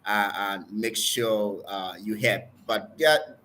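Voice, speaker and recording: Bright-voiced Liberian man hosting. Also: fairly low pitch, slow, slight background noise. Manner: conversational, chatty, prompting. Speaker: host, preacher and neurosurgeon. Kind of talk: interview hosting.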